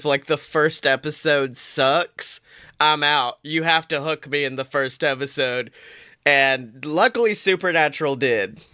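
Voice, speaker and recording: Animated young man, bright dry voice. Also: a little high, clean tone, no echo. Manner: animated, playful, mock-outraged. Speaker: podcast co-host. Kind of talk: casual chat.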